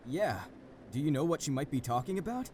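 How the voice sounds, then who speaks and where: Impatient detective male actor, conversational and questioning, animated intonation, faint traffic-like ambience; audio drama. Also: on the high side, a little fast, lightly acted. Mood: matter-of-fact. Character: impatient male police detective reporting in from the road. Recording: faint vehicle and ambient noise.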